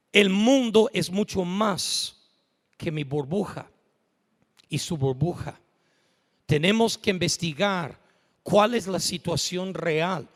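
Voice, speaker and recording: A man preaching a sermon in a church, emphatic and often building to shouts. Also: sits high. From United States. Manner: emphatic, rising to shouts at peaks. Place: church sanctuary. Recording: church hall reverb.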